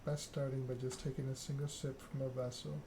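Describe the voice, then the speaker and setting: A man's voice: medium pitch, flat delivery, a little fast, noisy background, close to an everyday voice. A man voicing a refined, worldly female vampire mentor in a story game, speaking in a courteous, instructive way. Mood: grave, instructive.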